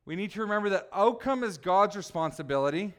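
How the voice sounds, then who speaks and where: A man preaching a relaxed, conversational sermon, joking with his congregation and teasing people by name. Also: high voice, fast talker, clean tone. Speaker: pastor.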